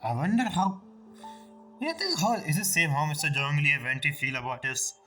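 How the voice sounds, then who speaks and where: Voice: mid-range voice, lively intonation, normal speed, sharp tone. A game streamer with a non-native English accent, giving casual commentary and reading dialogue aloud. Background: background game music.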